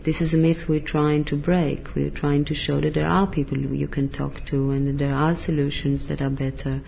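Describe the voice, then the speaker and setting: A gravelly, flat-toned voice makes a persuasive case in a documentary interview. The sound is dull, with faint room ambience behind it. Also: mid-range voice, normal speed, slight background noise.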